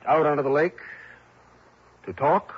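An actor playing a wry, world-weary insurance investigator in a mid-century radio drama, shifting between dialogue and first-person narration. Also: male voice, fairly high pitch, unhurried, husky. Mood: wry, resolved. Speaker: lead actor. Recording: muffled old radio broadcast, faint music behind.

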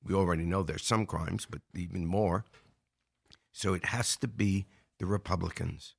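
A man with a fairly low voice delivers political commentary. He is earnest and emphatic, circling back to repeat his main points as he builds an argument. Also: fairly even intonation, normal speed, vocal fry, husky. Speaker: show commentator. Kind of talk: political commentary before a live audience.

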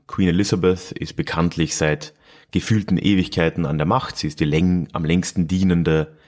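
A man hosts a history podcast on his own, talking in a loose, chatty way. He chuckles now and then and pauses with little fillers. His voice is very deep. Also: a little mellow. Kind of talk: casual solo podcast narration.

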